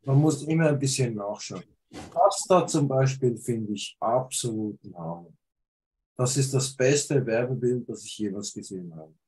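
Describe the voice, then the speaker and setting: A man's voice: mid-range voice, normal speed, darkish tone, slightly rough, rough recording. A man from Switzerland with a cold, bluntly critiquing flyer photos on a video call, hesitating with fillers. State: has a cold. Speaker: photographer giving feedback. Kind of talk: casual video call discussion.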